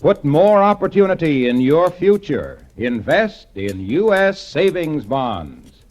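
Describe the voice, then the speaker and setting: A man's voice: a little high, very animated, slow pace, darkish tone. A man announcing a 1940s radio drama and reading its sponsor ads in a polished, emphatic, salesy way.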